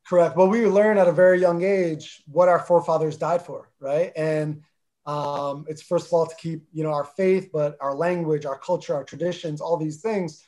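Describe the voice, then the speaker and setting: A man with a New Jersey accent, who spoke Armenian first, talking earnestly as an interview guest and pausing often with fillers. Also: on the high side, steady tone, darkish tone. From New Jersey, USA. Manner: earnest, thoughtful, with many fillers and restarts. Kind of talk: casual interview talk.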